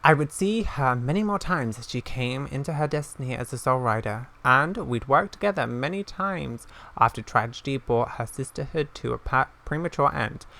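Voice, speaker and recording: Man reading a solemn, creaky fantasy game elder. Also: mid-range voice, expressive, normal speed, bright, forceful tone. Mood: solemn, wistful.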